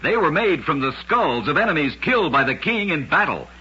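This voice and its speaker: A dull, vintage radio recording of a man narrating strange tales. His voice is markedly rough and forceful. He delivers each scripted line with a dramatic storyteller's emphasis. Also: fairly high pitch, very animated, brisk. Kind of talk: scripted old-time radio oddity narration.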